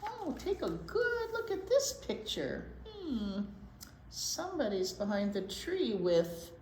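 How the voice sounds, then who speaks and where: Woman, children's storytime reader. Slow, very animated delivery with drawn-out words; roomy, slightly noisy recording. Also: high-pitched. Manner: expressive, drawn-out words, exclamations and asides to children. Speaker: storytime host, teacher. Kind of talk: animated read-aloud for young children. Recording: roomy echo, clear background noise.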